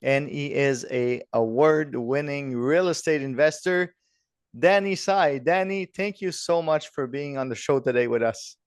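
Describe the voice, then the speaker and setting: A man's voice: on the high side, unhurried, clean tone, dry recording, studio quality. A man hosting a podcast, upbeat and direct as he asks questions. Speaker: podcast host. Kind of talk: podcast interview.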